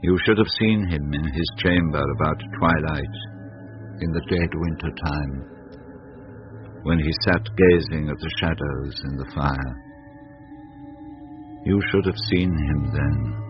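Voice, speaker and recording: An actor with an English accent playing a solemn male Victorian author narrating a radio drama in a measured, literary way. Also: rumbling low, soft background music. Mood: solemn, ominous. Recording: dull lo-fi radio recording, faint noise.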